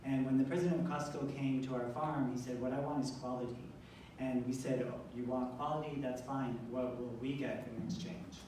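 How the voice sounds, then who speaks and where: Very echoey, mellow-voiced male interpreter. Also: mid-range voice, fairly even intonation, moderate pace. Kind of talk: consecutive interpreting at a public event. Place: indoor event room, heard from far off. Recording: distant mic, very echoey room, faint noise.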